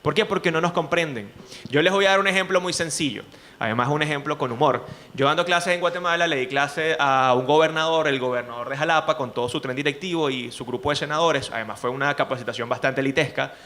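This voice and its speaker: A man with a Venezuelan accent, a journalist speaking to students in an animated way, often asking rhetorical questions. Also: a little high, quick pace. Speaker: journalist and speaking coach, guest. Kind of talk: lively talk to students.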